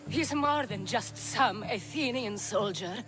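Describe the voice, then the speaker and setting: Actress as unhurried, sly Athenian courtesan. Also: female voice, medium pitch, expressive, over music, close to an everyday voice. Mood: bitter, wary. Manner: sardonic, teasing, unhurried. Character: sly, flirtatious, worldly courtesan of ancient Athens. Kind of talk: video game cutscene acting.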